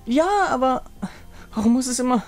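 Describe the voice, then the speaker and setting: An eager, innocent young girl from a fantasy game , acted out by a man. The voice is pushed high and sounds plaintive and wide-eyed, over soft music. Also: animated, normal speed. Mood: whining. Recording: music behind the voice.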